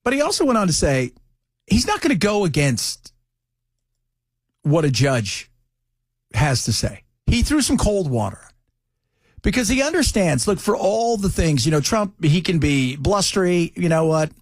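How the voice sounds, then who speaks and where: A man's voice: high voice, sing-song, measured pace, pauses often, no room sound. An American man hosting talk radio, giving opinionated political commentary in a conversational way with rhetorical questions and mocking asides.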